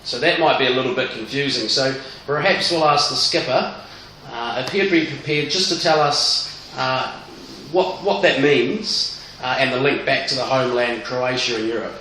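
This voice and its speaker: A man's voice: medium pitch, normal speed, pushed and bright, slight background noise. A man hosting a radio interview, asking long, rambling questions. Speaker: radio host. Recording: echoey room, mic at a distance.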